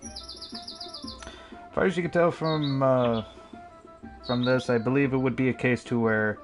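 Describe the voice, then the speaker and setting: Halting game master over music and birdsong. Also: medium pitch, steady tone. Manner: halting, roundabout phrasing. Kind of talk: casual tabletop game narration.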